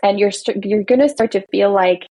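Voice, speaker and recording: A woman's voice: medium pitch, normal speed, warm tone, husky, no echo. A woman from Belgium, an attorney, explaining workplace rights in an earnest, casual podcast chat. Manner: earnest, explanatory, speaks in long building lists. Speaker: employment attorney guest.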